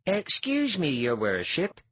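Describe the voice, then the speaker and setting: A man voicing a polite, earnest and excitable young fighter in an audiobook story. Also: mid-range voice, normal speed, slightly rough, dry recording, muffled recording, pitched above the performer's own voice.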